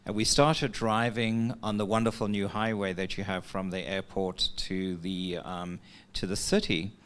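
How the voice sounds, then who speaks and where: A man from Africa, opening a workshop talk as a relaxed, conversational storyteller who uses filler words. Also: low voice, unhurried. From South Africa. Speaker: workshop presenter. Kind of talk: conference talk opening with an anecdote. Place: workshop meeting room.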